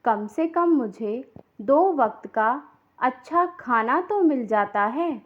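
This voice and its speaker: A woman voicing a reproachful, sharp-tongued older mother-in-law who scolds and taunts in a cartoon moral story. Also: a little high, lively intonation, slow, phone-quality sound.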